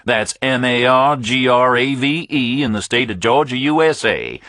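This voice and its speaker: A man's voice: mid-range voice, expressive, normal speed, a bit bright, no echo, pitched above the performer's own voice. A man voicing a confident, jocular southern man talking over a speakerphone, with a Southern US accent. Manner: chatty, jocular.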